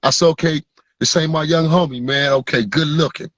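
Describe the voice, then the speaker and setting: A man's voice: on the high side, steady tone, a little fast, a bit bright, no room sound, highs cut off. A man hosting a podcast in casual street slang, pressing his points and asking if the listener follows him. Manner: emphatic, street slang, asks "you feel me". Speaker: podcast host.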